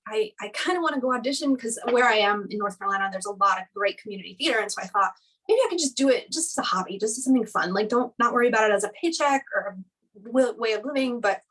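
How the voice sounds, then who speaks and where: A woman's voice: a little high, fairly bright tone, slightly rough. Woman in her 30s on a video call, rambly chatty guest, sounds a bit far off the mic. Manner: chatty, rambling, with frequent likes and laughs. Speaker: interview guest. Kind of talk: casual interview chat.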